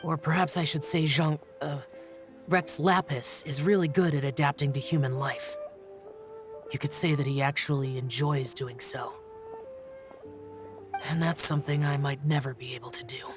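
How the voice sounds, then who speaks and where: Male actor as reserved young immortal warrior for a game; brooding, formal, halting, faint music behind. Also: fairly high pitch, fairly even intonation, lightly acted. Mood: pensive, reserved. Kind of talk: video game voice acting.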